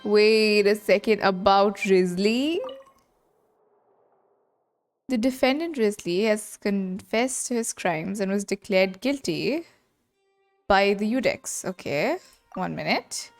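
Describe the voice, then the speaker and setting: Quick-talking woman streaming a game. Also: mid-range voice, animated, faint music behind. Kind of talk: casual game playthrough reaction commentary.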